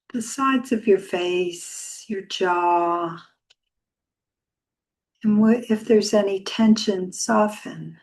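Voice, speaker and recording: A woman leading a guided meditation as a yoga teacher, speaking softly and soothingly with long, unhurried pauses. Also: mid-range voice, rough recording.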